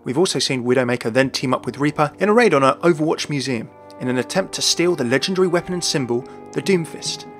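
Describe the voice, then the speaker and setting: A man's voice: fairly high pitch, a bit bright. A man reading scripted narration as the narrator of a video game lore explainer.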